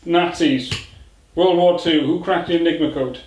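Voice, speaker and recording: A young Welsh man with a Welsh accent, excitedly arguing and talking over his friends in a casual chat. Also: on the high side, normal speed. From Wales. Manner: excitable, talks over others, swears. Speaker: podcast co-host. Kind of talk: casual debate among friends. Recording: echoey room, faint noise.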